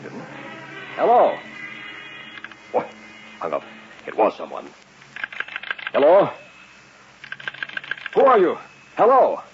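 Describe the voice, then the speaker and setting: Curt, troubled radio-drama lead with a very low, gravelly voice, muffled old recording with music behind. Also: male voice, full character voice. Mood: agitated. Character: troubled man, tense, curt, fearing he is hunted.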